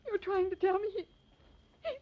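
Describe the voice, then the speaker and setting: An actress playing a distraught mother, halting and melodramatic, in 1950s radio drama style. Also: extremely high pitch. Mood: anguished. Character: distraught, frail-sounding mother, theatrical and wailing. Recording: dull, noisy old radio recording.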